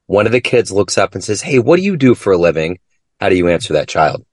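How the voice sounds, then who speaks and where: Deep-voiced man hosting, dry close sound. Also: big pitch swings, quick pace, no echo. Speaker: podcast interview host. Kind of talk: conversational interview questioning.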